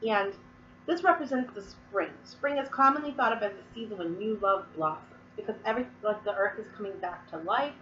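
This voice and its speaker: A woman's voice: medium pitch, animated, fairly quick, bright, forceful tone, distant mic, lo-fi sound, a little hiss or hum. Chatty sick woman in an echoey room. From Massachusetts. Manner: chatty, rambling with many fillers. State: sick, sniffling and coughing. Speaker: Wiccan teacher. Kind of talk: casual explanatory talk to camera.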